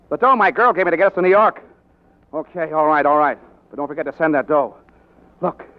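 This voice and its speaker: An actor playing a brash, cocky young American reporter in slangy 1940s radio style. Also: male voice, a little high, natural voice. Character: brash, fast-talking young newspaper reporter, engaged to marry. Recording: muffled old radio drama recording.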